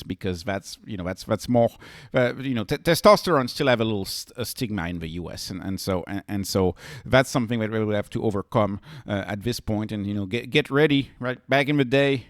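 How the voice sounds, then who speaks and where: A man giving unscripted solo commentary as a host, halting and often repeating words as he restarts his phrases. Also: on the low side, no echo, studio quality.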